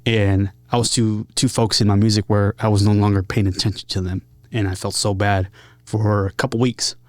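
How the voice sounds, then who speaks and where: A man speaking casually and openly as an interview guest, earnest, in short halting phrases. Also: fairly low pitch, flat delivery, dry recording. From San Diego area, United States. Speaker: podcast guest, musician. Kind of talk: casual, candid interview talk.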